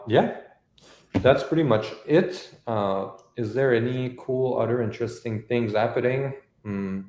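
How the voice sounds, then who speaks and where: Low-voiced French-accented man, echoey room. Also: darkish tone. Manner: informal, explains step by step. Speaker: company host answering viewer questions. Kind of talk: casual live Q&A, technical explanation.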